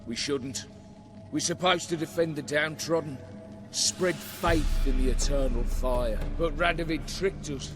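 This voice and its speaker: An actor playing a proud, embittered rogue knight in a video game, speaking in a solemn, aggrieved, oratorical way. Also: male voice, fairly high pitch, measured pace, music in the background, lightly acted. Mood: bitter, solemn.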